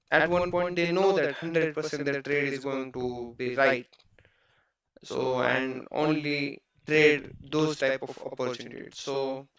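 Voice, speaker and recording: A man with an Indian accent, English not his first language, talking casually as a trading streamer at home, halting with many fillers and restarts. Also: mid-range voice, creaky, fairly bright tone, echoey room, highs cut off. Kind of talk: casual talk while studying charts.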